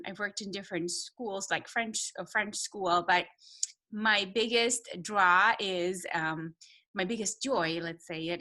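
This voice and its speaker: Bright-voiced Romanian woman, halting delivery. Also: medium pitch. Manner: halting, searching for words. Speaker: second grade teacher. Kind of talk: informal welcome talk to families.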